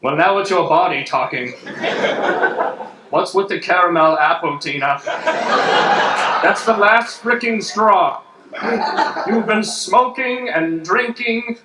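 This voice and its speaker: In an echoey, very noisy stage recording, a man plays a stern disembodied voice, a human body nagging its owner. It is a higher-set male voice, mocking and scolding, in improv comedy. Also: slow pace, pauses often. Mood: ominous scolding. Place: live improv stage with audience. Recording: echoey stage, distant mic, loud noise.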